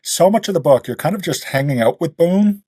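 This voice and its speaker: A man's voice: a little high, expressive, brisk, mellow, slightly rough, no room sound, studio quality. A man hosting a casual podcast about books, talking bluntly and emphatically in slangy language and repeating himself for emphasis. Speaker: podcast host.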